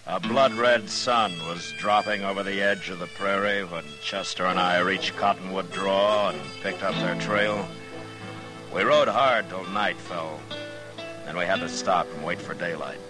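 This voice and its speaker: Deep, laconic frontier marshal. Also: male voice, unhurried, music in the background, poor audio. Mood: grim, weary. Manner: terse, understated. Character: steady, laconic, world-weary frontier marshal. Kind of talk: 1950s western radio drama acting.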